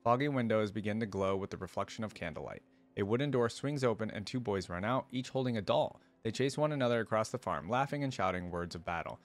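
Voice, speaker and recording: A man's voice: on the low side, expressive, quick pace, soft background music. A man hosting a reaction video, reading story text aloud and adding informal, casual commentary.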